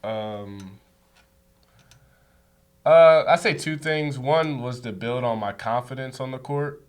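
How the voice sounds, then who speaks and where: A man's voice: mid-range voice, slow. A young man who plays college basketball, answering interview questions in an easygoing way that is full of "like". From United States. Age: young adult. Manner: easygoing, says like a lot. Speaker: student athlete guest.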